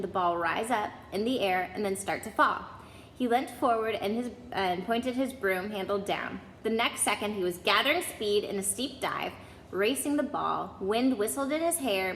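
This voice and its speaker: A woman's voice: mid-range voice, moderate pace, a bit bright. Woman storyteller in an echoey hall. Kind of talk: reading a children's novel aloud. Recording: echoey hall, faint background noise.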